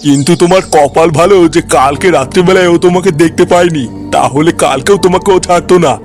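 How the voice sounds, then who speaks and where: A man voicing a solemn, authoritative village priest in a comic cartoon, speaking gravely and with assurance. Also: a little high, fast, darkish tone, lightly acted. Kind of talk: comic cartoon dialogue in colloquial Bengali. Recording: music playing behind the voice.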